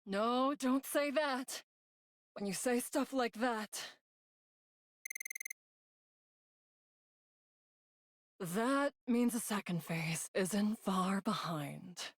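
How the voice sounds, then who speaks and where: A woman's voice: medium pitch, slow pace, dry recording, studio quality. A woman voicing an earnest, frank young adventurer and loyal companion in an English anime game dub. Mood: urgent.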